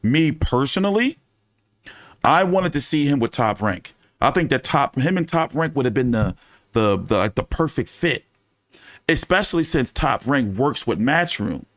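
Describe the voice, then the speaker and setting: A man's voice: medium pitch, animated, moderate pace, fairly bright tone, no echo, clean studio sound. A man hosting a boxing news channel in casual fan chat, talking loosely with cursing and repeating his phrases.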